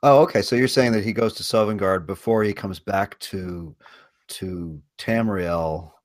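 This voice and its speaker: Male panelist, deep voice, casual questioning chat, dry close sound. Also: a little slow, no room sound. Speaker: podcast roundtable panelist.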